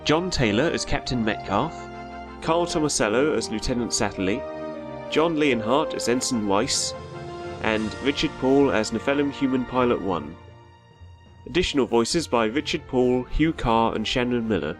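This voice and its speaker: A male narrator with a medium-pitched voice reads scripted closing credits for an audio drama. Music plays clearly behind him. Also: lively intonation, moderate pace.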